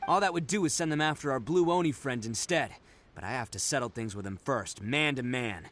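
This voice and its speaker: An actor playing a brash, big-hearted young oni gang boss in a video game, boisterous, slangy and cocky, with music behind. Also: male voice, mid-range voice, a little fast, fairly bright tone, slight background noise, natural voice. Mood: determined.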